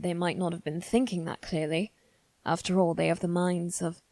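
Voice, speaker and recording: A woman playing a proud, analytical young aristocratic boy detective in an ASMR roleplay, musing aloud in measured, formal phrases with deliberate pauses. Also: on the low side, a little mellow, dry recording. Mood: analytical, skeptical. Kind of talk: ASMR roleplay, scripted dramatic monologue.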